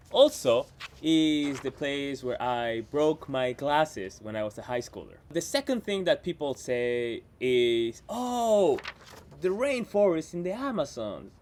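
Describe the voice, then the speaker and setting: A man's voice: mid-range voice, a little mellow. A Peruvian man with a Spanish accent, hosting a playful comedic monologue to camera. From Peru. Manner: chatty, playful, teasing asides. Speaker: comedian and show host. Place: home in Washington.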